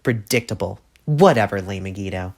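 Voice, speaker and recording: A man's voice: on the low side, expressive, normal speed, noisy background. A man voicing a scheming, smug troll girl who taunts in a sly way, for a fan audio drama. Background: music.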